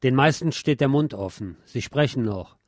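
A man with a deep voice reading a scripted essay aloud in a measured, formal, literary manner. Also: lively intonation, darkish tone, no room sound, clean studio sound. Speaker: host reading essays on history.

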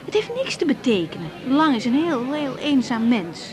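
An actress playing a teasing, affectionate young bride and doctor in a radio drama, with a Netherlands Dutch accent. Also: female voice, high voice, normal speed, crowd noise. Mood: flustered. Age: young adult. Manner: teasing, animated, stammers when flustered.

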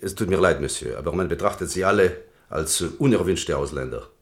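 A man's voice: low voice, fairly quick. A man playing a courteous, evasive prison director in a radio play, with a French accent and a polite, hesitant manner. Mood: evasive, apologetic. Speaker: actor in a radio drama. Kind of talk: German radio play acting.

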